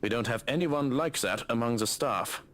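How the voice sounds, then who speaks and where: A man voicing a calm, stern male butler and bodyguard who speaks in a measured, matter-of-fact way. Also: mid-range voice, natural voice.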